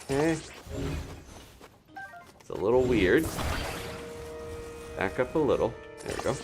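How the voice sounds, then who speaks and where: A man streaming a video game, giving casual live commentary and chatting as he thinks out loud. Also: medium pitch, animated, unhurried, over music.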